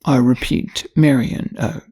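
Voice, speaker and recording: A woman voicing a courteous, wealthy gentleman suitor in a story, polite and formal, with a husky voice. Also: extremely low pitch, fairly even intonation, unhurried, exaggerated voice. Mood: courteous, flattering.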